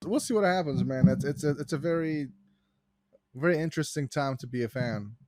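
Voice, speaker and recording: A man from Morocco hosting a casual sports podcast, talking loudly and swearing, with frequent laughs. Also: on the high side, a little fast, no room sound. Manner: loud, profane, laughs often. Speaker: podcast host.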